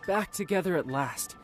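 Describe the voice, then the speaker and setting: A playful young big brother from a video game. His voice is light and youthful, reassuring with a teasing edge. Music is clearly audible behind him. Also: sits high.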